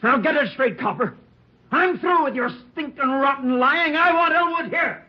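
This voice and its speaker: A man's voice: extremely high pitch, normal speed. An actor playing a hostile bomber in a 1940s radio crime drama, with slangy, sneering tough-guy talk and barked threats. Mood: furious, demanding. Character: hostile bomber holding city hall hostage, demanding his brother. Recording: muffled old 1940s radio recording.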